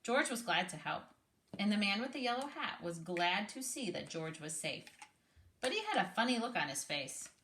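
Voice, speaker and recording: A woman reading a children's picture book aloud in an animated, encouraging way, pausing to prompt with questions. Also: medium pitch, a little hiss or hum. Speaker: read-aloud host, speech therapist.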